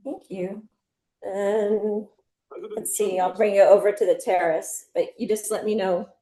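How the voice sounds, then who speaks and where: A woman from New Jersey giving a casual home tour and interview, chatty and halting, laughing easily. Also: mid-range voice, steady tone, warm tone, rough recording. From New Jersey, USA. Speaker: interview guest and expat homeowner.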